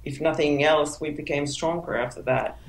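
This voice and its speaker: A woman's voice: low voice, moderate pace, reverberant, low-quality recording. A woman whose first language is Finnish, answering interview questions as an author, often restarting and repeating words as she searches for them. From Finland. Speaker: author guest.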